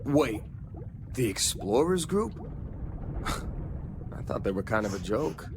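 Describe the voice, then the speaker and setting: A man's voice: lowish voice, big pitch swings, slow, noisy background. A man playing a cocky, skeptical young miner in a video game, speaking in a folksy, scoffing, colloquial way.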